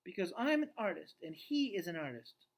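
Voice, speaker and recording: A man's voice: high-pitched, big pitch swings, normal speed, pitched above the performer's own voice, full character voice. A man voicing an eager, stubborn, pleading young girl for a storybook read aloud. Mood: excited.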